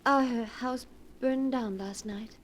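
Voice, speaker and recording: An actress playing a devoted, anxious wife in the style of a 1940s radio drama. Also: female voice, fairly high pitch, slow pace. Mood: tender, relieved. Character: frightened, devoted, urgent young wife. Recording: noisy vintage 1940s radio broadcast.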